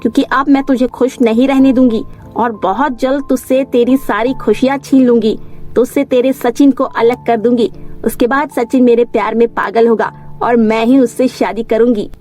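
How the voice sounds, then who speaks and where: A woman voicing a jealous, scheming young woman who feigns sweetness in an animated story, speaking in a measured way. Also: on the high side, soft background music, close to an everyday voice. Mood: vengeful. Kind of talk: Hindi animated fairy tale voice acting.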